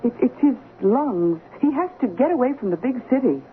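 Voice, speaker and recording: A woman's voice: on the high side, expressive, normal speed, close to an everyday voice. An actress playing a middle-aged mother in a radio drama, soothing, hesitant and a little evasive. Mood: hesitant reassurance. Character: anxious, coaxing middle-aged wife and mother. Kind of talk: 1970s radio drama acting. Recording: muffled lo-fi radio recording with hiss.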